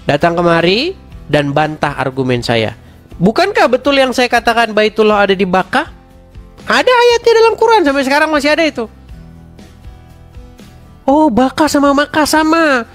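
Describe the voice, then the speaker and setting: A man's voice: very high-pitched, big pitch swings, moderate pace, pauses often, a bit bright, soft background music. A man with a slight head cold, hosting a heated religious debate, challenging and mocking his opponent with laughter.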